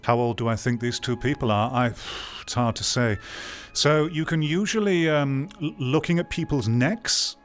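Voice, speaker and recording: A man's voice: mid-range voice, normal speed, faint music behind. A man in his thirties giving blunt, off-the-cuff critical commentary as a streamer, feeling out his phrasing as he goes. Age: 30s. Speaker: streamer reacting to a video.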